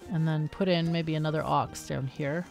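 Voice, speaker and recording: Low-pitched woman chatting through a game. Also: fairly even intonation, moderate pace, creaky, darkish tone. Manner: chatty, thinking aloud. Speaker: gaming host. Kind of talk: casual game commentary. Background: game music.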